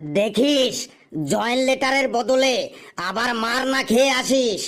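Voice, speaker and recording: A man's voice: extremely high pitch, a little slow, fairly bright tone, full character voice. A man voicing an irritable middle-aged shopkeeper in a comic cartoon, scolding and exasperated. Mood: scornful. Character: irritable middle-aged male shopkeeper chasing unpaid debts.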